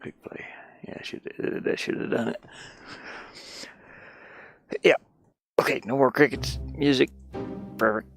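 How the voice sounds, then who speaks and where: A man's voice: mid-range voice, fairly even intonation, unhurried, vocal fry, long pauses, a bit bright, no room sound, faint music behind. A man casually thinking aloud as he works on a game, muttering to himself in short fragments. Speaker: hobby game developer sharing a work session. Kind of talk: casual think-aloud screen commentary while working.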